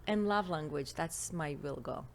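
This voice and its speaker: Lower-voiced Israeli woman expert. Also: a little slow. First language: Hebrew. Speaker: relationship expert guest. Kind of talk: interview conversation. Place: podcast studio.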